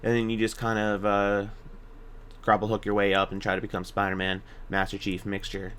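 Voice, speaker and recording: A man's voice: on the low side, completely flat delivery, brisk, fairly bright tone, smooth. A man narrating a video game walkthrough in a casual, informal way, hesitating and correcting himself as he gives directions. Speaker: gaming video guide narrator.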